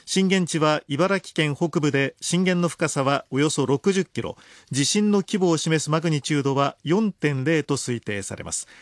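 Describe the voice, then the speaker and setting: High-voiced man reading radio news. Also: dry recording, studio quality. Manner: formal, measured, clearly articulated. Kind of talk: scripted news bulletin reading. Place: radio studio.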